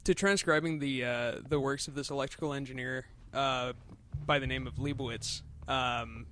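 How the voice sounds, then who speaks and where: A man's voice: mid-range voice, steady tone, normal speed, a bit bright. A man doing casual let's play commentary, explaining things in a hesitant, thoughtful way. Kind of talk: casual chat over gameplay.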